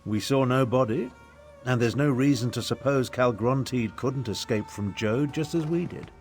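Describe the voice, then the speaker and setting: A man's voice: medium pitch, normal speed, a little mellow, soft background music, close to an everyday voice. A man playing a haughty, curmudgeonly older battle mage in a fantasy game, with dry and pompous delivery. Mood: brisk, grave. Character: crotchety, haughty aging human battle mage. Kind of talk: video game character dialogue.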